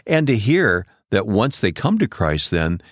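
A man with a low voice talks as a radio co-host. His manner is conversational, affirming and appreciative, and the sound is dry with no room echo. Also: very animated, unhurried, slightly rough.

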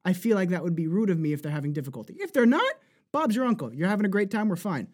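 A man with a New Jersey accent, talking as an animated voice actor guest who laughs often and slips into accent demos. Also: high-pitched, fast talker. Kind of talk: casual interview chat.

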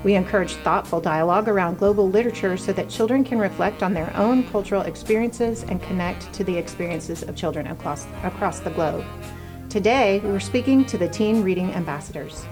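A woman's voice: medium pitch, fairly quick, over music, poor audio. A woman hosting a podcast, partly reading from a script and partly chatting. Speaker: podcast host, university program director.

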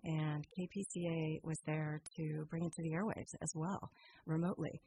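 A woman's voice: low voice, flat delivery, moderate pace, warm tone, slight background noise, low-quality recording. A woman from a radio drama troupe, chatting casually in an interview. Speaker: radio players member. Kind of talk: casual conversation.

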